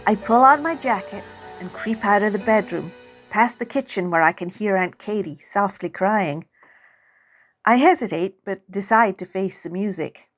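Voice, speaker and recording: A woman author narrating a first-person story in character as a child, with expressive, dramatic storytelling. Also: mid-range voice, normal speed. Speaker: author reading own novel. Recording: music under the voice.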